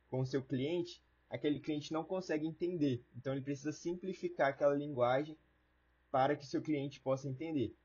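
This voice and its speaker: A man's voice: on the high side, warm tone, slightly rough, dull audio. A Brazilian man teaching an online lesson in a casual, colloquial way, explaining things with simple everyday wording. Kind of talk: informal lecture with everyday examples.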